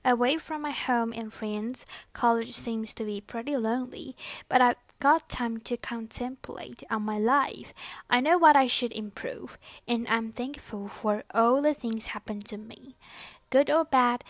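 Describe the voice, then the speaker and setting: A young woman whose first language is Chinese, hosting a personal podcast and reflecting on her life in a soft-spoken, earnest and lightly scripted way. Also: fairly high pitch, no room sound. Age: young adult. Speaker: podcast host.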